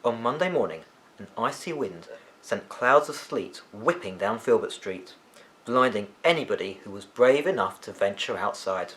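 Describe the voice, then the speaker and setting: A man reading a children's novel aloud as an expressive storyteller. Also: fairly low pitch, normal speed, poor audio.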